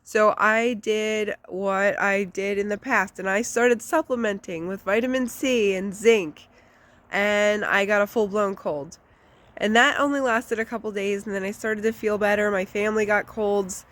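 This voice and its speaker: A woman's voice: medium pitch, fairly even intonation, a little slow, fairly bright tone. Chatty woman with a stuffy nose. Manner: chatty, candid, with frequent fillers. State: congested from a flu. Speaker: vlogger. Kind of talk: casual personal life update.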